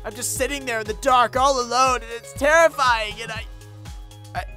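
Someone doing a nervous whiny girl pegasus, mid pitched, stammery slang, faint music behind. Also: steady tone, moderate pace, sharp tone, natural voice. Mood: anxious whining. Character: anxious, whiny, slangy female pegasus afraid of animals. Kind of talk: cartoon parody dub.

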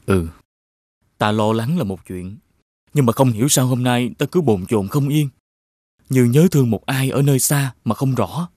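An actor playing a gentle, wistful young prince in an animated fairy tale, pensive and sincere. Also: male voice, medium pitch, normal speed, dry recording, studio quality, close to an everyday voice. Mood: wistful, troubled.

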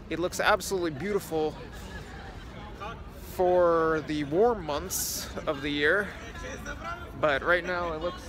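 A young man chatting to his phone camera held at arm's length as he walks through a city square at dusk, giving a casual tour. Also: fairly high pitch. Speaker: vlogger. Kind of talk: casual walk-and-talk vlog.

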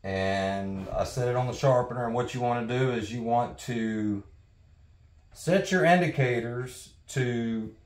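A man's voice: medium pitch, a little mellow. A middle-aged man giving a hands-on demonstration in a wood-walled workshop, explaining each step plainly with some restarts. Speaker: sawmill blade technician.

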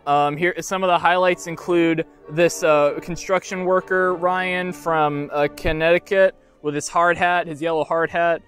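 A man's voice: a little high, fairly even intonation, fairly bright tone, faint music behind. Rambling young man with a lapel mic, outdoors. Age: young adult. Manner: rambling, self-mocking, halting with many fillers. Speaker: YouTuber unboxing toys. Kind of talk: jokey deadpan unboxing vlog. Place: outdoors by a field under trees.

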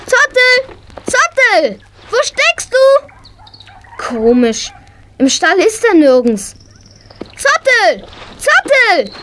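A young female performer playing a plucky, lively girl in a children's audio drama, expressive and youthful. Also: very high voice, very noisy, exaggerated voice. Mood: searching, calling.

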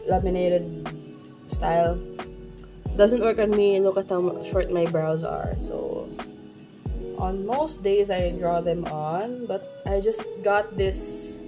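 Slow, rambling woman vlogger. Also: lowish voice. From Philippines. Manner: slow, self-conscious and rambling, with many restarts. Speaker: beauty vlogger. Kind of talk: casual get-ready-with-me makeup talk. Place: bedroom at home, indoors. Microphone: phone camera at arm's length. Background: background music.

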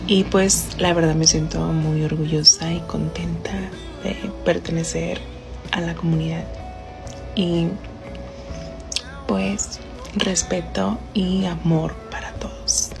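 A woman in her twenties speaking calmly to camera in a short clip. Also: fairly low pitch, slow pace, creaky, over music. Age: 20s.

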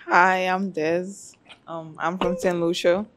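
A woman's voice: fairly low pitch, little pitch movement, takes it slowly, fairly bright tone. A young Jamaican woman with a Jamaican accent, a dating show contestant talking frankly and teasing on a handheld mic. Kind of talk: casual chat.

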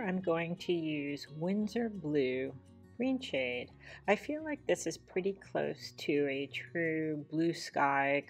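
A woman with a low voice talks through a watercolor demonstration step by step. Her delivery is measured and unhurried. Faint guitar music plays behind her. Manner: measured, explains each step plainly. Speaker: watercolor teacher. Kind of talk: calm step-by-step painting demonstration voice-over. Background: soft guitar music.

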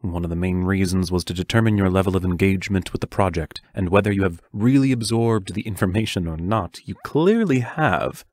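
A serious male teacher played by an actor in an audio play. His voice is on the low side and recorded dry and close. He is cautious and protective, choosing his words formally. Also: expressive, a little mellow, no room sound. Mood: firm, concerned. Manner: measured, formal, careful phrasing. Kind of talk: audio drama acting.

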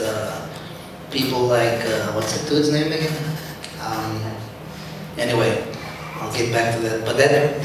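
A man with a South African accent giving informal, outspoken panel talk with slang and emphasis. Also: medium pitch. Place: large lecture hall. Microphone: handheld microphone. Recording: echoey hall, distant, faint noise.

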